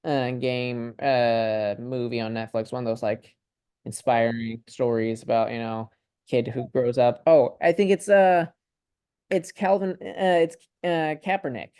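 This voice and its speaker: A young man from Ukraine chatting casually on a video call in a student discussion, thinking aloud in a tentative, halting, rambling way. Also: mid-range voice, steady tone, warm tone, clean tone, no room sound, clean studio sound.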